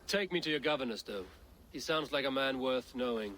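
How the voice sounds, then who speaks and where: A male actor playing a calm, bold Viking clan leader in a video game, speaking formally and plainly. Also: medium pitch, a little hiss or hum, natural voice. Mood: admiring, direct. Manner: formal, measured, plain-spoken. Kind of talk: video game dramatic dialogue.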